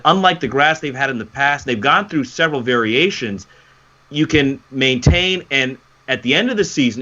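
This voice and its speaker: A man's voice: mid-range voice, pushed and bright, highs cut off. A man co-hosting a sports talk show, explaining things fluently and emphatically in a commentator's style.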